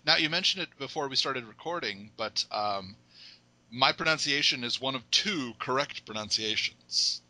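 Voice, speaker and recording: A male podcast host with a very bright, sharp-edged voice at a middling pitch. He keeps the talk casual and playful and often drifts into silly jokes between his questions. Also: animated, moderate pace, dry recording, low-quality recording. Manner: playful and jokey, fond of silly asides.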